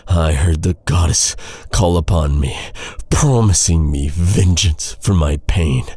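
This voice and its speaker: An actor playing an embittered, vengeful knight in a dark fantasy audio roleplay, brooding and intense, with halting dramatic delivery. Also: male voice, low-pitched, slightly rough, no echo, heavily acted. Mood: bitter, brooding. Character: vengeful, embittered male knight interrogating his captive enemy.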